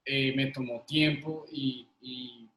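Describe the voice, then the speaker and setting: A man in his thirties with a Colombian accent, telling personal stories casually and haltingly, often repeating words. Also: medium pitch, fairly even intonation, a bit bright, a little hiss or hum. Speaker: interview guest. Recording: roomy, echoing sound.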